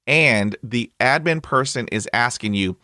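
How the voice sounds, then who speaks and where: A man's voice: mid-range voice, animated, unhurried, bright, forceful tone, dry recording, clean studio sound. A man hosting a podcast interview, stuttering heavily with blocks and repeated sounds, upbeat and chatty with lots of fillers. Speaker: podcast host. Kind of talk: conversational interview.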